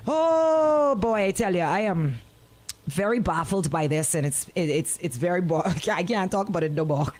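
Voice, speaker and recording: A woman's voice: fairly low pitch, lively intonation, normal speed, a little mellow. A woman radio host giving an opinionated monologue in an indignant, emphatic, colloquial way, repeating words for effect. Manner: colloquial, folksy phrasing.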